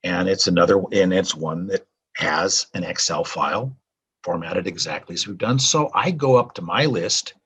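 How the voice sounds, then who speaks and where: An older man, a translator at his home desk, casually talking through a software tutorial with many ums and uhs. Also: sits low, normal speed, breathy edge. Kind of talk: casual screen-recorded tutorial.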